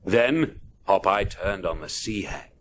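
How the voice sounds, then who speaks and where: A man's voice: low voice, expressive, slow, fairly bright tone, a little hiss or hum. A man narrating a children's adventure story dramatically, punching out the action words. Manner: punchy, theatrical sound-effect words.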